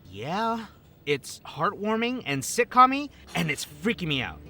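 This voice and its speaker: A man's voice: high-pitched, wildly swooping pitch, measured pace, bright, forceful tone, faint music behind, exaggerated voice. An actor playing a wry, jokey man with a troubled past, talking in a casual, slangy, hesitant way in an audio drama. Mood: wary, joking. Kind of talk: acted audio drama dialogue.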